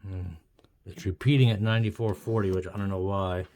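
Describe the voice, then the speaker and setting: A man giving a casual, unscripted demonstration as a hobbyist, thinking aloud in a halting, uncertain way. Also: sits low, fairly even intonation, a little mellow. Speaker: hobbyist vlogger. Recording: noisy with clicks and radio. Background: radio noise and clicks.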